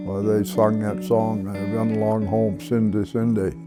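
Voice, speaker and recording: Old new guy, 80s, lowish voice, short plain answers, guitar music really loud behind him. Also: flat delivery, measured pace. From Maine, USA. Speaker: grandparent being interviewed. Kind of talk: casual personal reminiscence. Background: loud acoustic guitar music.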